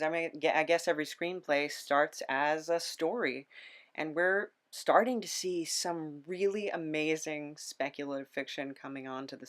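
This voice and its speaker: A woman hosting a casual interview chat, playful, feeling her way through her questions. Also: low voice. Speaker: podcast host.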